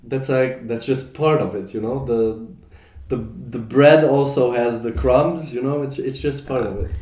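Young man, from Germany, mellow dark tone, halting with fillers, casual chat, roomy echo. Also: medium pitch. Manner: hesitant, many fillers and restarts. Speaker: podcast guest.

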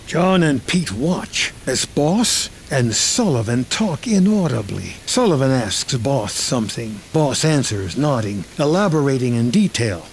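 A man reading screenplay action as scripted narration, in a measured, present-tense manner. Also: medium pitch, very animated, vocal fry, a little hiss or hum.

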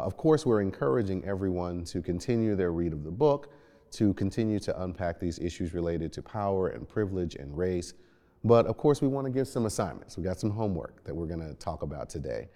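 A man hosting a discussion, speaking in halting, measured phrases as he guides the conversation. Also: sits low, warm tone. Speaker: podcast host. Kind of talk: discussion moderating. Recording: reverberant hall-like echo.

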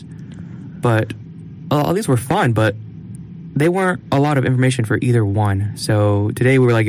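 A man's voice: medium pitch, darkish tone, slight background noise. A young man co-hosting a podcast, rambling and joking, with frequent laughs, on a studio mic in a small room. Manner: rambling, jokey, laughs often. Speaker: podcast co-host. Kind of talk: casual chat.

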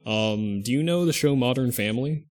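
A man's voice: mid-range voice, normal speed, darkish tone. A man co-hosting a podcast in casual chat, rambling and often stopping to correct himself mid-sentence. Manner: rambling, thinks aloud, restarts and self-corrects often. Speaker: podcast co-host.

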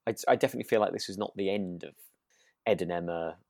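Deep-voiced British man, tentative chat, dry sound. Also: quick pace, darkish tone, dry recording. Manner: tentative, hedging, often rephrasing. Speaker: podcast co-host. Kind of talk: casual chat discussing a radio drama.

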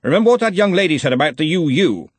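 A man's voice: a little high, very animated, fast, no echo, pitched above the performer's own voice. A man voicing a brash, cheerful, domineering wizard arch-chancellor in a storybook, blustery and commanding. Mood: cheerfully forceful.

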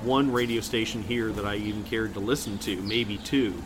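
A man's voice: fairly even intonation, normal speed. Middle-aged American man, noisy recording. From Kansas City, United States. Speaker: podcast co-host. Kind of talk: casual chat, reminiscing. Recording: clearly noisy, rumbling background.

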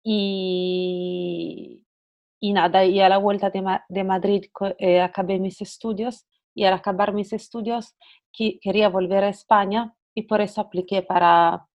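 A young Italian woman with an Italian accent, a podcast guest talking hesitantly with many pauses. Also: medium pitch, flat delivery, darkish tone. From Italy. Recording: dull lo-fi sound.

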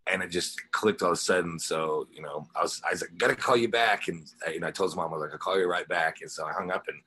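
A man with a low voice speaks as a guest on a music interview. He is laid-back and conversational, leaning on "you know" as he goes. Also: fast, pushed and bright, husky. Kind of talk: casual chat, personal anecdotes.

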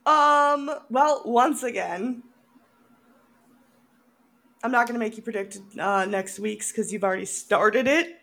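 A woman's voice: fairly high pitch, takes it slowly, long pauses, fairly bright tone. A woman co-hosting a casual film review chat, often breaking into giggles as she talks. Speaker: podcast co-host.

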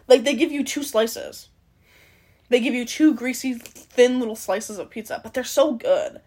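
A woman's voice: on the high side, measured pace, a little mellow. A young woman from Canada hosting a podcast alone, rambling casually with many fillers and some swearing. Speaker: podcast host. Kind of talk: casual solo chat.

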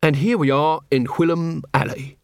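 A man's voice: a little high, steady tone, creaky, no room sound, clean studio sound. Man voicing an earnest, cheerful young constable. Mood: matter-of-fact. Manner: polite, mild, unhurried.

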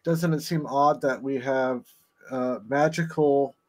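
A man's voice: medium pitch, steady tone, slow, darkish tone. A man on a casual podcast who muses and speculates, restarting his sentences often. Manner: speculative, rambling with repeated restarts. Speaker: podcast guest. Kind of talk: casual chat.

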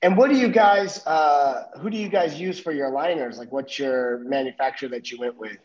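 A man hosting a podcast, asking casual questions in a relaxed, self-deprecating way and often repeating words as he starts. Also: on the high side, moderate pace. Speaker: podcast host. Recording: dull lo-fi recording.

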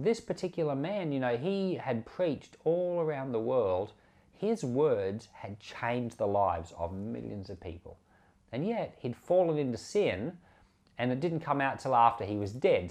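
A man's voice: medium pitch, a little mellow. A man preaching an informal Bible commentary in an earnest, conversational way, repeating and rephrasing his points for emphasis. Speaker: preacher and Bible podcast host. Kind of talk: informal sermon commentary on scripture.

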